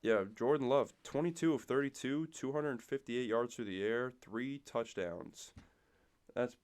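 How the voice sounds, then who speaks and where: A young man, hoarse and nasal from a cold, co-hosting a casual podcast and rattling off game stats. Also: mid-range voice, unhurried, a little mellow. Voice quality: raspy, nasal. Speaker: podcast co-host. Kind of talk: casual sports chat.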